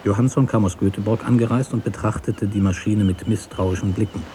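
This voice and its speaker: A man narrating a literary story for radio in a measured, deliberate way. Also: lowish voice, steady tone, warm tone, a little hiss or hum.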